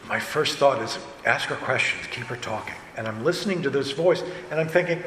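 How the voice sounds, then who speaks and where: Man, animated storyteller, casual panel talk, medium pitch, roomy hall sound with background noise. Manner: animated storyteller, acts out quoted remarks. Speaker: audio drama director and voice actor on a panel. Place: large indoor convention panel room.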